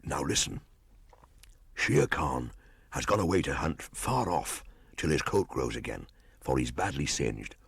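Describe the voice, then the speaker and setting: A man's voice: very deep voice, animated, unhurried, gravelly, long pauses, slightly rough, no echo, clean studio sound, full character voice. A man voicing a grave, anxious grey wolf, a loyal elder brother, in a storybook reading. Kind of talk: storybook audiobook acting.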